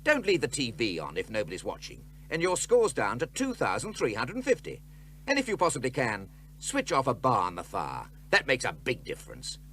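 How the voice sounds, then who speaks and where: A man's voice: medium pitch, wildly swooping pitch, a little fast, fairly bright tone. A man reading a scripted public information appeal, instructive and emphatic. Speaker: public information film announcer. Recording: old film soundtrack, faint hum.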